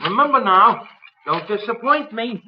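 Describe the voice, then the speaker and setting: An actor playing a cocky, bullying ex-convict blackmailer in an old-time radio crime drama, domineering and needling. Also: male voice, high voice, lively intonation, a little slow, noisy background. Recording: dull vintage radio recording.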